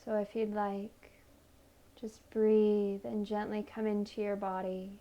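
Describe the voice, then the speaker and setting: A woman leading a guided meditation in a soothing, gentle manner, offering unhurried invitations. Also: medium pitch, little pitch movement, dull audio. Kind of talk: guided meditation, body scan narration.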